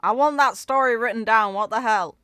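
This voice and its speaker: A woman giving casual, off-the-cuff reaction commentary as a gaming video host, informal and expressive. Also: on the high side, brisk, smooth.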